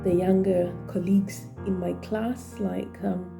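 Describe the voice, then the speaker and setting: A woman in her forties from Zimbabwe, with a Zimbabwean accent, talking thoughtfully in a personal vlog with many pauses and fillers. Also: mid-range voice, flat delivery, vocal fry, over music. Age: 40s. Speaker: vlogger and trainee teacher.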